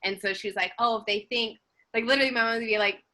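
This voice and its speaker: An actress on a panel, talking casually with many filler words and frequent laughter. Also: female voice, on the high side, fairly even intonation, quick pace, pushed and bright.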